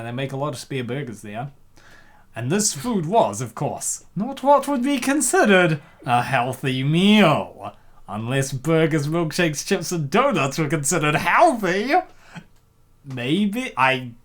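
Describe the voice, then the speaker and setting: A casual comic dub narrator of mid pitch with very animated intonation. The manner is jokey, ironic and teasing, slipping between reading and chatting.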